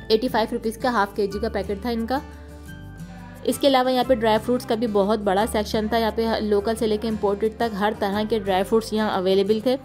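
A woman with a Pakistani Urdu accent, narrating a store-tour vlog and listing prices in a steady, informative voice. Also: a little high. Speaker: shopping vlogger. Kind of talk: vlog voice-over. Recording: voice-over with faint music behind.

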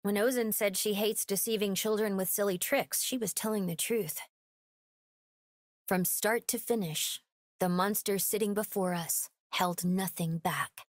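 A woman playing a gentle, earnest young robot boy in an anime game, acting and narrating. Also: mid-range voice, fairly even intonation, a little slow, dry recording, clean studio sound, natural voice. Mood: solemn recounting.

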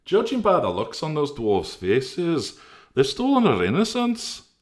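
A man's voice: fairly high pitch, huge pitch swings, unhurried, voice pushed up. A fussy, dramatic fellow yelps his dismay in a lifted, swooping voice, voiced by a man. Every line lands as an outraged exclamation. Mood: aghast. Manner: exclaiming, theatrical. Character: fussy high-voiced male empath, dramatic.

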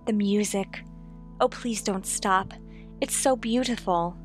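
A woman's voice: medium pitch, slow, soft background music, lightly acted. A woman playing a genteel, dreamy young lady in an old-time radio drama. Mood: charmed, polite. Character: genteel young single woman writing letters, intrigued, dreamy.

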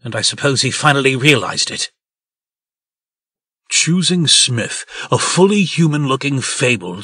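A man playing a pompous, raspy talking pig. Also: mid-range voice, sharp tone, no room sound, clean studio sound. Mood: bitter, indignant. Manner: pompous, indignant. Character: belligerent, self-important talking pig who runs a farm. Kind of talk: comic dub character acting.

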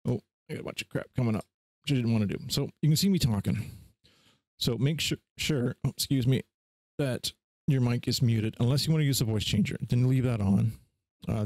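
A man hosting a casual screen-share software tutorial in an informal, offhand way. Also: on the low side, vocal fry, no echo. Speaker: tutorial host.

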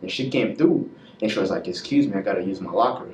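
A teenage boy from Africa tells stories from his school days in a lowish voice. He sits far from the mic in an echoey room with faint background ambience. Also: animated, fast, darkish tone, a little hiss or hum. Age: teens. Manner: narrates anecdotes. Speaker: immigrant student. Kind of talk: storytelling in interview. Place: classroom.

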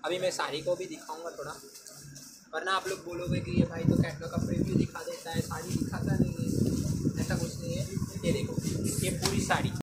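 A man's voice: sits high, wildly swooping pitch, normal speed, very noisy. A young man vlogging casually from a small textile shop, showing sarees to viewers in a chatty, joking way. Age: young adult. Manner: chatty, jokey, addresses viewers as guys. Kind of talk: casual product showcase.

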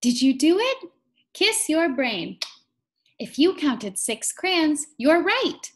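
A young woman with a fairly high, very lively voice leads a children's read-aloud. She speaks slowly and deliberately, with an encouraging sing-song teacher's delivery aimed at little kids.

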